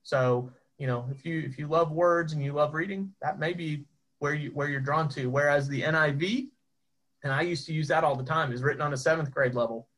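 Higher-voiced man leading a Bible class. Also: steady tone, brisk, a bit bright, low-quality recording. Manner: conversational, rhetorical asides. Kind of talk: informal teaching.

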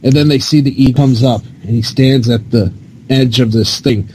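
A man's voice: mid-range voice, moderate pace, darkish tone, a little hiss or hum, low-quality recording. A man co-hosting a podcast, chatting and telling stories in a casual way. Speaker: podcast co-host. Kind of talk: casual chat, storytelling.

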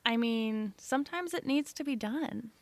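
A woman's voice: a little high, normal speed, dry recording. A woman co-hosting a podcast, chatting casually in an easygoing, agreeable way. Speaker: podcast co-host. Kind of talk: casual chat.

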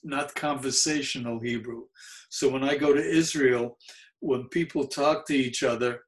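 Older man in his 70s, New York accent, Yiddish native, mellow and halting, slow-paced, mid-pitched, for an oral history interviewee. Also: poor audio. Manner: mellow, unhurried, halting with frequent fillers.

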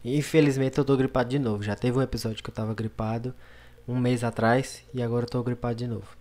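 A man's voice: medium pitch, steady tone, fast, darkish tone. A man hosting a casual couple podcast while he has a cold, teasing and laughing often. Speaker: podcast host. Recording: laptop mic, faint music.

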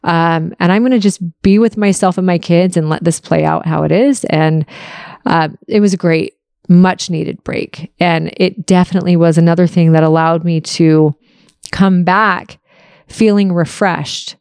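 A woman's voice: lowish voice, steady tone, measured pace, darkish tone, smooth, dry recording, clean studio sound. A woman in her early forties talking candidly and casually to her listeners, in an open, confessional and reflective way. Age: early 40s. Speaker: podcast host answering listener questions.

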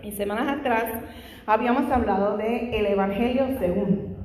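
A woman preaching a Bible study in Spanish, her second language, sprinkling in frequent hallelujahs and praises, fervent in prayer. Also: medium pitch. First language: not Spanish. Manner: frequent "aleluya" and "gloria a Dios" interjections; fervent prayer, louder and faster. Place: large echoey church hall. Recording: echoey hall with long reverb.

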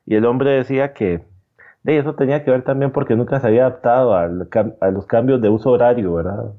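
A man talking conversationally as a guest, reflective and halting, often checking for agreement as he tells stories. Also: medium pitch, phone-quality sound. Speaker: guest commentator. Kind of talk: conversational sports storytelling.

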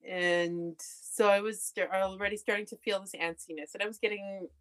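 A young adult woman from Canada, telling her story candidly in a relaxed conversation. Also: medium pitch, normal speed, crowd noise. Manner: candid storytelling, laughs often. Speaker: podcast guest. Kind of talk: casual conversation.

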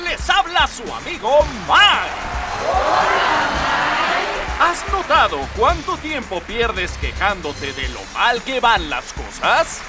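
A man playing a gushing, slick TV pitchman in an exaggerated parody advert within a radio drama. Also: sing-song, slow, recorded from a distance, loud music behind the voice, exaggerated voice. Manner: exaggerated salesman delivery.

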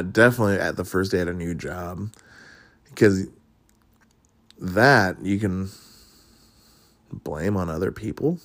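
A man's voice: sits low, dry recording. A man hosting a podcast, chatting casually through would-you-rather questions, rambling and swearing with frequent laughs. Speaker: podcast host.

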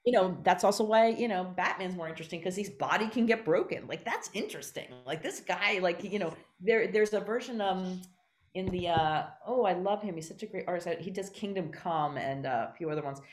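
A woman talking as an interview guest, effusive and emphatic, digressing as she goes in an animated conversational style. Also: on the low side, fairly quick, soft background music.

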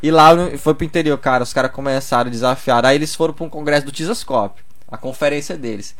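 A man's voice: mid-range voice, fast, fairly bright tone. A young man in Brazil, telling stories in a loose, slangy casual chat full of "cara". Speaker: podcast guest.

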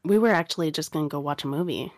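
A woman hosting a quirky podcast, bantering playfully with a drawn-out, teasing delivery. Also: sits low, no echo, studio quality. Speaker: podcast host.

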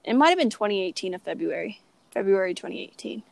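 A woman's voice: medium pitch, lively intonation, quick pace, vocal fry, no echo. A young woman co-hosting a podcast, telling personal stories in a playful, self-mocking, exclamatory way. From Oklahoma, United States. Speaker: podcast co-host. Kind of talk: casual chat, personal storytelling.

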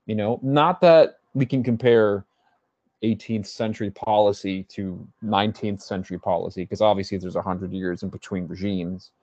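A man's voice: on the low side, moderate pace, a little mellow, dry recording, highs cut off. A man co-hosting a podcast, chatting casually about music theory, thinking aloud and hedging as he goes. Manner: thinks aloud, hedges and restarts. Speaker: podcast co-host. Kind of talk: casual music analysis chat.

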